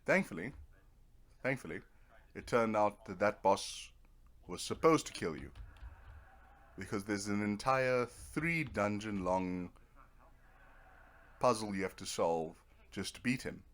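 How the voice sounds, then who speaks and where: A man's voice: lowish voice, lively intonation, measured pace, pauses often, a bit bright. A man giving casual, rambling commentary over a video game, with a dry, self-deprecating sense of humor. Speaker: video game let's player.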